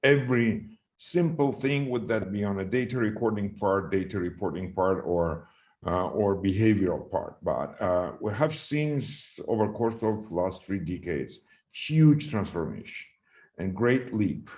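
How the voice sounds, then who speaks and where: A middle-aged man with a Georgian accent giving a formal but unscripted presentation, explaining in a halting way and stressing key words. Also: fairly low pitch, lively intonation, darkish tone. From Georgia (the country). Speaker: conference presenter on health governance. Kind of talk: formal presentation, speaking extempore.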